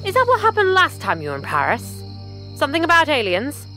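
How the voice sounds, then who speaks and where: A woman playing a lively, eager journalist. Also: high-pitched, a little fast, soft background music, full character voice. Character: Eleanor Agnew, curious adventurous female journalist. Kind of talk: audio drama acting.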